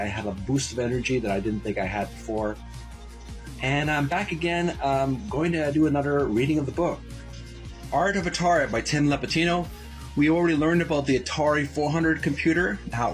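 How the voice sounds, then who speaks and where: A man hosting his own channel, recovering from a cold and casually reading a book aloud, rambling with many fillers. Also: medium pitch. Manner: rambling, filler-heavy, self-deprecating. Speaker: host.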